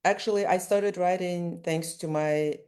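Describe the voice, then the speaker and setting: A woman's voice: fairly low pitch, fairly even intonation, unhurried, darkish tone. A woman whose first language is Russian, an author-illustrator answering interview questions thoughtfully, often restarting her sentences. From Ukraine.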